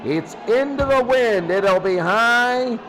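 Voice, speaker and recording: A man's voice: very high voice, lively intonation, takes it slowly, poor audio. A man giving live football play-by-play commentary in short, clipped present-tense calls. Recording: loud noise behind the voice.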